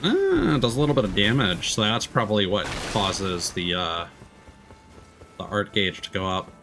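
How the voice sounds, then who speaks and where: A man's voice: on the low side, big pitch swings, moderate pace, noisy background. A man giving casual live gameplay commentary as a streamer, thinking aloud as he muses through tactics. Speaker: video game streamer.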